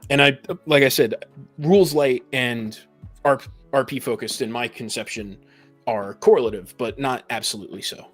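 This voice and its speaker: A man's voice: medium pitch, fairly even intonation, normal speed, a bit bright, soft background music. A man in a casual panel discussion about games, explaining his opinions at length. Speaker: podcast panelist. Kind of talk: casual discussion of tabletop gaming.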